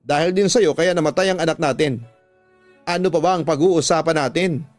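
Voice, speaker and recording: A betrayed wife in a radio drama, acted by a man, bitter and wounded, pressing accusations with heavy emphasis. The pitch sits fairly high, the sound is dry, and music plays underneath. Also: a little fast, dry recording, close to an everyday voice. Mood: angry, wounded. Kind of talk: Tagalog radio drama acting.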